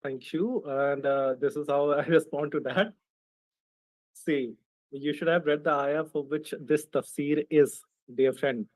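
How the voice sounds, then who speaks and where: Slow, deliberate Indian man debating. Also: on the high side, fairly even intonation, darkish tone, no room sound, low-quality recording. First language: not English. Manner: confrontational, slow and deliberate, reads out texts. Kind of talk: online debate.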